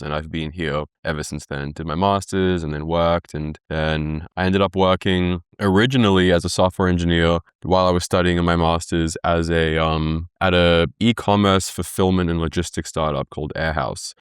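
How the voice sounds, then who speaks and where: A young man from Australia whose accent carries a trace of South African. His very deep, smooth voice sits close and dry on the mic. He tells his story in a relaxed way with quick restarts. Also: no echo. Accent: Australian with some South African. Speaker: guest founder. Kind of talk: casual interview.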